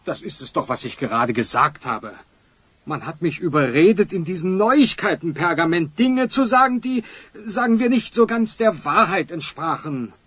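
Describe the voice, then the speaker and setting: A man playing a pompous, florid older wealthy magnate with a husky voice in a children's audio drama. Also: a little high, lively intonation, normal speed, a bit bright, no echo. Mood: distressed, confessing.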